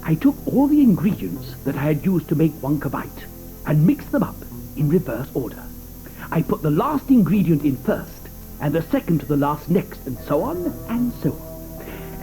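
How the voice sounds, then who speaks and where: An actor playing an eccentric, fussy male chocolate maker in an audio drama, talking theatrically with many hesitant pauses. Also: on the high side, very animated, warm tone, low-quality recording. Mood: hurried, eager. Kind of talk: 1980s audio drama acting. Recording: studio audio drama with music behind.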